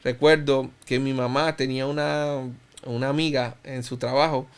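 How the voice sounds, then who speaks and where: A man in his thirties from Cuba, speaking Spanish with a Puerto Rican accent, tells his story as a guest in a slow, reflective and earnest way, often restarting his phrases. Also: mid-range voice, steady tone, smooth. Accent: Puerto Rican Spanish, faint Cuban trace. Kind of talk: personal testimony in an interview.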